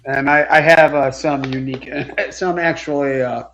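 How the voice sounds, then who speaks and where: A man chatting casually and haltingly as a panelist on a live sports show. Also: on the high side, steady tone, fairly bright tone. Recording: muffled lo-fi audio with clear noise.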